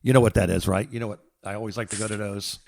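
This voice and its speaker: A middle-aged American man co-hosting a podcast in casual chat, halting and rambling, often repeating words and trailing off mid-thought. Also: fairly low pitch, fairly even intonation, vocal fry. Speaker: podcast co-host. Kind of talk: casual phone-call chat.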